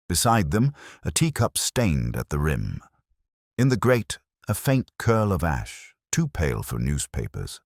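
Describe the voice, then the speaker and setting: A man playing a brooding, introspective British police inspector, narrating a detective story in a measured, solemn, literary way. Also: a bass voice, dry recording, studio quality, full character voice. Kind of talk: scripted first-person detective story narration.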